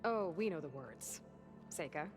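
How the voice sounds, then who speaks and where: A woman's voice: low voice, lively intonation, unhurried, music in the background. A woman playing a determined, pragmatic young heroine in a video game, with a direct, dry way of talking. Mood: dry, confident. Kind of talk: video game cutscene acting.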